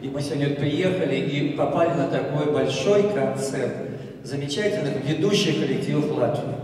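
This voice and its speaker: A man leading a dance group gives an emotional, halting stage speech, searching for words. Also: on the high side, darkish tone, recorded from a distance. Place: large hall with audience. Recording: echoey hall, recorded from afar.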